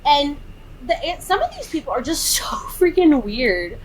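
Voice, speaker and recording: A thirty-year-old woman co-hosting a podcast, recapping a film in casual chat, animated and exasperated as she acts out quotes. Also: sits high, normal speed, slight background noise, low-quality recording. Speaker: podcast co-host.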